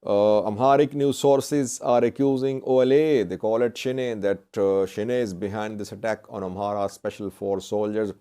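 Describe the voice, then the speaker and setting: A man presenting news commentary to viewers in halting, deliberate phrasing, often correcting himself. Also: lowish voice, steady tone, mellow, clean tone. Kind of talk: semi-scripted news commentary.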